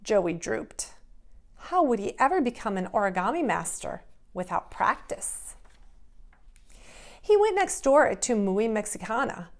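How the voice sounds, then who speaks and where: Female preschool teacher, unhurried and expressive, slight creak, for children's read-aloud and simple craft steps. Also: mid-range voice. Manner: expressive, simple step-by-step phrasing for young children.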